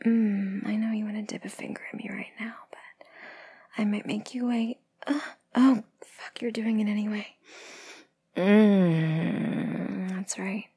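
A woman whispering in a breathy, sultry, teasing voice in an intimate adult roleplay audio, with moans between phrases. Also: medium pitch, steady tone, a little slow, warm tone.

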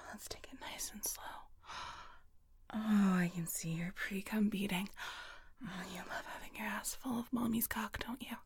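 A woman performing intimate adult audio roleplay in a breathy, whispery voice, with a teasing, dominant manner. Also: medium pitch, a little mellow. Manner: slow, teasing, dominant murmuring.

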